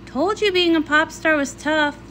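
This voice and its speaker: A woman's voice: high-pitched, unhurried, a bit bright, a little hiss or hum, pitched above the performer's own voice. A woman voicing a young, sporty female pony princess, blunt, exasperated and sarcastic, in a comic read-along. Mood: smug, scolding.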